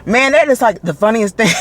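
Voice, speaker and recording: A man with a Southern Alabama accent vlogging casually from his car, animated and laughing often as he talks to a handheld camera. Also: very high-pitched. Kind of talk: casual driving vlog. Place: in a car, driving. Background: faint car and road noise.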